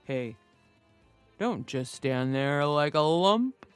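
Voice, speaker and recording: A woman's voice: deep voice, expressive, takes it slowly, smooth, no echo, faint music behind. A woman narrator voicing a male company vice president, apologetic yet commanding, in a story. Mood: scolding.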